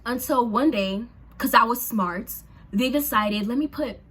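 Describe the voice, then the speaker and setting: A young woman from Haiti whose first languages were Creole and French, chatting casually to camera in an expressive, slangy way. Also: on the high side, measured pace, slightly rough. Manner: chatty and expressive, slangy, lots of fillers and exclamations. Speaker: vlogger. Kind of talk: casual storytime chat to camera.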